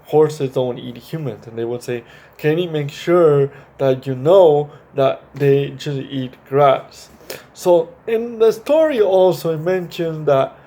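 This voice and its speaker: Guy doing storytime for little kids, voice a bit on the high side, halting, repeats himself, explains stuff simply. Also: warm tone. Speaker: children's storytime host. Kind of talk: reading a picture book and talking to young children.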